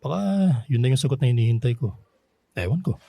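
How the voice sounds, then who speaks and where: A man's voice: medium pitch, animated, fast, breathy edge, no echo. A man acting a hesitant, tender older uncle figure and secret lover in a Filipino radio drama, with a Filipino accent. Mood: hesitant, worried. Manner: gentle, hesitant, coaxing. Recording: soft music underneath. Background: background music.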